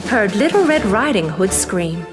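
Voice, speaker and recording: A woman's voice: medium pitch, sing-song, measured pace. A woman narrating a scripted children's story as the storyteller. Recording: long reverb, music behind. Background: faint music.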